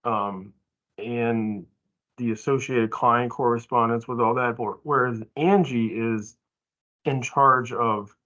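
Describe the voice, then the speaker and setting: Man talking haltingly, muffled audio. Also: medium pitch, fairly bright tone. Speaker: training presenter. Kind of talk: informal explanatory talk in a staff training.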